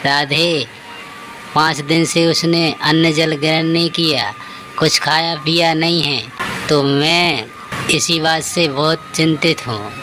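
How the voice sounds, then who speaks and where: A man voicing an earnest character in a devotional cartoon tale, speaking deliberately and respectfully. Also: a little high, fairly even intonation, background noise. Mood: concerned, tender. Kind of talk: cartoon story dialogue.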